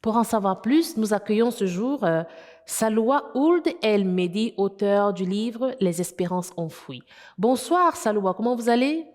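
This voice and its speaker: A woman's voice: mid-range voice, expressive, measured pace, darkish tone. Woman interviewer in a reverberant room. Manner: rambling questions with many fillers. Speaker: interviewer host.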